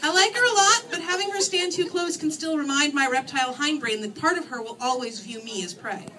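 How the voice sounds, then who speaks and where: A woman reading her first-person novel aloud in an expressive, theatrical and wry manner. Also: high-pitched, brisk, a little hiss or hum. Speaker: author reading own novel. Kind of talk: animated first-person story reading to an audience. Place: screening room, live reading. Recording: distant microphone in a room.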